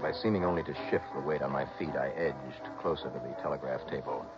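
A man's voice: a bass voice, quick pace. An actor playing a cool, terse investigator who narrates his own case in a radio drama. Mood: tense, measured. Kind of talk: scripted first-person radio drama narration. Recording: dull old radio recording, music behind.

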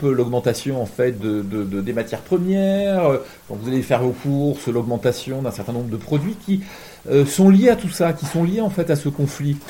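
A man giving deliberate, reflective interview answers as a reporter, often rephrasing himself. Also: a little high, warm tone. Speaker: journalist, war reporter being interviewed.

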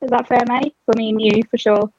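A woman's voice: on the high side, steady tone, fairly quick, darkish tone, no room sound. A young woman guest in a casual chat, wry and a little rambling. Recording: dry muffled lo-fi.

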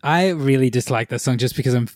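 A man from Australia hosting a casual podcast chat, laid-back and halting, laughing often. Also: mid-range voice, a little mellow, no room sound, studio quality. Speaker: podcast host.

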